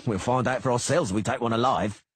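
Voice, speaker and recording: A man's voice: medium pitch, fast, slightly rough, no room sound, studio quality, pitched above the performer's own voice, natural voice. A man voicing a crude, sneering beady-eyed mercenary in an audiobook. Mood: sneering mocking. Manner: mocking, crude.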